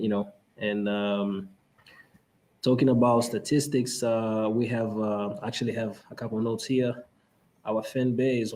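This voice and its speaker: A man from Angola with an Angolan Portuguese accent, hosting a podcast and talking in a halting way with many fillers and restarts. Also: on the low side, darkish tone, slight background noise. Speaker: podcast host. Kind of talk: casual conference talk.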